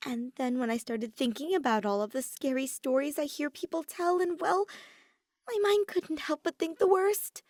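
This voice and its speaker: High stammering voice, skittish young woman mage, audiobook figure, dry close sound, as a woman reader puts her on. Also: normal speed, a little mellow, no room sound, natural voice. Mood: nervous. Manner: stammering, nervous. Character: timid young woman mage, easily frightened.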